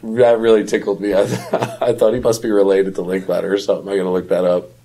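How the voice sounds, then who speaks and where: Low, husky-voiced man chatting. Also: level tone, dark tone. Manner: chatty, quick to laugh. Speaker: podcast co-host. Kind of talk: casual chat about films.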